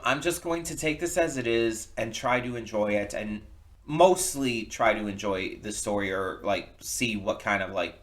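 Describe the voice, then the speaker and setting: A man's voice: on the low side, fairly bright tone. A man hosting a reaction channel, giving casual, rambling commentary in a hesitant way, often repeating and rephrasing himself.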